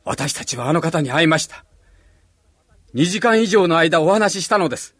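A man's voice: a little high, lively intonation, moderate pace, fairly bright tone, slightly rough, no room sound. A man playing an earnest, devout disciple in a radio drama, speaking emphatically. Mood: excited.

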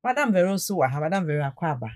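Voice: medium pitch, sing-song, racing, darkish tone, dry recording, clean studio sound. A radio talk show host, welcoming guests and often murmuring agreement. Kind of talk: casual radio chat.

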